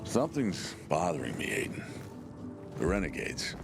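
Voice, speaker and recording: An actor playing a protective, easygoing squad lieutenant in a video game cutscene, gruffly joking and swearing. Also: male voice, low-pitched, big pitch swings, moderate pace, full character voice. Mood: wary, confiding. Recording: game music behind the voice.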